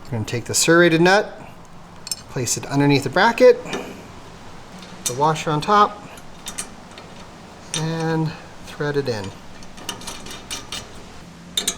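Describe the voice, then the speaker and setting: A man's voice: on the high side, very animated, slow pace, long pauses, background noise. A man presenting a hands-on installation tutorial, explaining things methodically step by step. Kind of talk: step-by-step how-to instruction.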